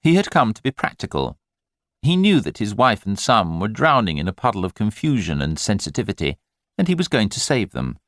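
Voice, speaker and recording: A male audiobook narrator reads scripted literary fiction. His voice sits fairly low and moves with very lively intonation. The delivery is measured and expressive, and the sound is very dry. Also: no room sound.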